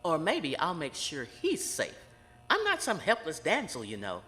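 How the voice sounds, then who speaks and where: Woman playing an intense psychic, low expressive voice. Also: moderate pace, full character voice. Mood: dry, self-assured. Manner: grave, insistent, dry retorts. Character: intense, self-assured psychic woman aiding murder investigations. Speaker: actor in audio drama. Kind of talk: audio horror drama acting. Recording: very reverberant, with noise behind.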